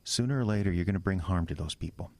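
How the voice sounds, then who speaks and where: Casting: male actor as earnest small-town young pastor, deep voice, wounded and suspicious, horror audio drama. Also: a little mellow, dry recording, natural voice. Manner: halting, exasperated, distrustful.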